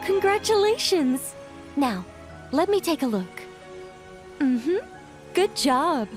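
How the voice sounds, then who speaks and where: A gentle former-angel witch for a video game. Her voice is high, and she speaks like a proud, theatrical storyteller, lyrical and polite, over soft background music. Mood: helpful, encouraging.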